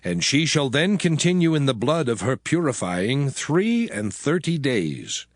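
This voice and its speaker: A man voicing the Lord giving laws in a dramatized scripture reading, deliberate and emphatic. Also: medium pitch, big pitch swings, no echo, clean studio sound. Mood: grave.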